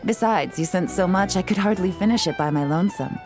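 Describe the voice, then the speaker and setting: A woman's voice: on the low side, brisk, music in the background, lightly acted. A woman playing a shrewd government official in a video game, wry, blunt and guarded. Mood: wry, wary. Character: shrewd, dry-witted female government official.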